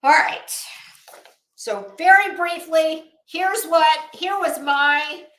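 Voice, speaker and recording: High-pitched, blunt woman livestreamer. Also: bright, forceful tone, echoey room, rough recording. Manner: blunt, scornful, emphatic, with deliberate repetition. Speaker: livestream host. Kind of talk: opinionated livestream commentary to viewers.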